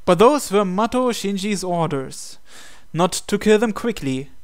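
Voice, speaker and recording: Clear, higher-pitched male narrator. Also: lively intonation, unhurried. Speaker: gaming channel host. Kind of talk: reading visual novel narration aloud.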